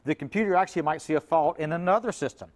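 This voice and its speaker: Fast-talking, higher-pitched folksy male expert. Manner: folksy, acts out imagined quotes to explain. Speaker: auto repair shop owner, guest expert. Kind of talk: conversational explaining in an interview.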